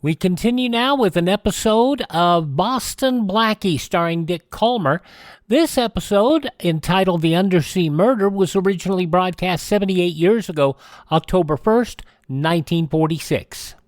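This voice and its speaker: A man reading a measured, announcer-style introduction as a podcast host. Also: high-pitched, no room sound. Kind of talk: scripted introduction.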